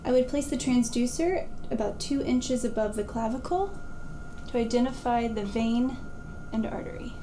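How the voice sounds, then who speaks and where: A woman's voice: a little high, normal speed, warm tone, slight background noise, lightly acted. A woman playing a polite, careful exam candidate describing a procedure step by step. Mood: polite, focused. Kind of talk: scripted skill demonstration.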